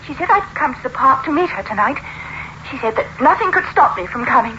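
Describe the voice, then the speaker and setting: A woman's voice: high voice, very animated, fast talker, pushed and bright, full character voice. An actress playing a terrified, haunted woman in an old radio drama, pleading in a breathless, melodramatic way. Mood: frantic pleading. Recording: noisy, muffled old radio recording.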